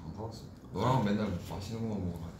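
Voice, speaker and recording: A young man chatting on a livestream with bandmates, in a relaxed, low-key way. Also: very fast, background noise. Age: young adult. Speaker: idol group member on livestream. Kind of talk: casual livestream chat. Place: small indoor room at a table. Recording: roomy room echo.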